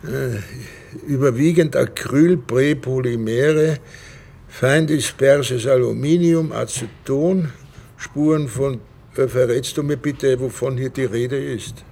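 Gravelly, dry police inspector. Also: male voice, medium pitch, slow, a little mellow, exaggerated voice. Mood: dry, curious. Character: middle-aged male police inspector and father, dry, easily amused. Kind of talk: naturalistic German radio drama, with inner monologue.

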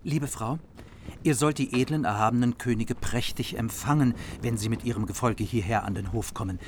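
An actor playing a noble, generous margrave who speaks with dignified, courteous formality. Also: male voice, medium pitch, moderate pace, darkish tone, slight background noise, natural voice. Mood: courtly. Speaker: actor in radio drama. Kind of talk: formal radio play acting.

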